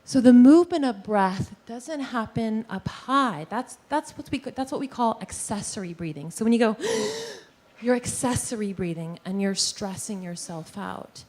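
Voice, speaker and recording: A woman giving a lively presentation on posture and breathing to a live audience in a meeting room, guiding them through movements. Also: on the high side, measured pace. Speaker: posture and breathing teacher giving a workplace talk.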